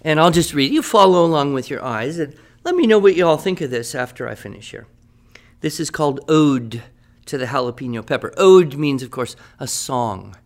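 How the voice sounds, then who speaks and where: A man's voice: fairly high pitch, big pitch swings, moderate pace. A man playing a writing teacher who leads a class in an upbeat, encouraging and wordy way. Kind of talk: scripted classroom radio drama.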